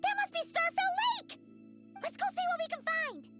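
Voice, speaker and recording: A woman voicing a tiny, chatty, excitable childlike fairy companion in an animated video game. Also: very high voice, moderate pace, music in the background. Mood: excited. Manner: exclamatory, speaks of herself by name.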